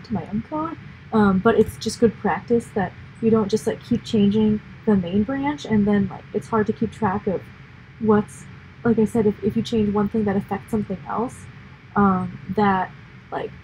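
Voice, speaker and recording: Young american woman rambling on a webcam, kinda high voice, lots of ums, faint hiss behind. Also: a little mellow, poor audio. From United States. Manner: rambling, filler-heavy, upbeat. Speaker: livestream host. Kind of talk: casual explanatory chat. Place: indoor office or lab room.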